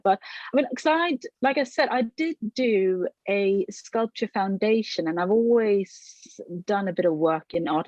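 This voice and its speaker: A woman's voice: medium pitch, a little mellow, no room sound. Thoughtful Swedish-born woman, dry dull sound. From Sweden. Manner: thoughtful, hesitant, many fillers and restarts. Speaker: ceramic artist, interviewee. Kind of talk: conversational interview answers.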